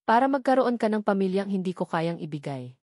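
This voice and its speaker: A woman's voice: medium pitch, expressive, brisk, darkish tone, no room sound, lightly acted. A woman narrator plays a lovelorn man in a storybook romance, weighed down by guilt. He murmurs to himself in a dry, close recording. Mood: regretful. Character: guilt-ridden lovelorn man, former boyfriend.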